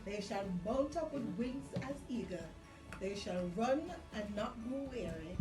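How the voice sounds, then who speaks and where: A woman's voice: medium pitch, expressive, unhurried. A middle-aged woman with a Caribbean accent telling a personal story in casual chat, emphatic and repeating phrases for effect. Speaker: vlog guest sharing personal testimony. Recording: roomy, distant mic, music underneath. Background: music.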